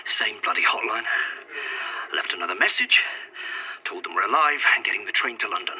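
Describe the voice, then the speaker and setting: Casting: irritable male company director, forceful piercing voice, sweary and sarcastic, horror audio drama, faint noise behind. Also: on the low side, expressive, a little fast, low-quality recording. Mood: bitter, tired. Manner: snappish, sarcastic, exasperated. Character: irritable, sweary corporate executive at breaking point. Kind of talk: naturalistic found-footage audio drama acting. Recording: faint noise, found-footage recorder.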